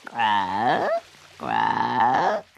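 A woman voicing a cheerful little male frog in a low, gravelly croak. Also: huge pitch swings, very slow, dull audio, background noise, full character voice. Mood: contented.

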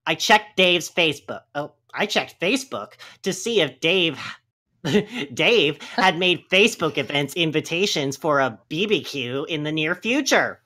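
A woman's voice: sits low, animated, measured pace, sharp tone. A woman giving a theatrical, giggly comedic reading on a live podcast. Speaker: podcast reader. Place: live group podcast recording.

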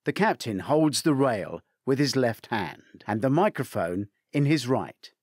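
A man reading a crime thriller aloud as scripted narration, measured and theatrical, lifting his voice for quoted commands. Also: medium pitch, very animated, a little mellow, breathy edge, no echo, studio quality. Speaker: audiobook narrator.

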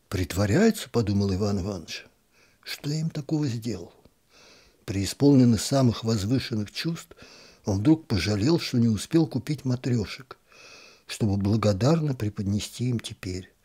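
A man reads a literary story aloud in a calm, measured voice. His tone is dark and mellow, with a husky edge, and he sometimes sinks to a near whisper. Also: medium pitch. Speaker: narrator. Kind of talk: literary audiobook reading.

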